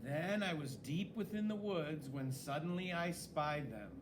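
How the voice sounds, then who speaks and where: Guy reading a kids story, really expressive and dramatic, takes his time, noisy background. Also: a little high, unhurried. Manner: expressive, dramatic, with swooping emphasis. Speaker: story reader. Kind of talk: animated children's story read-aloud.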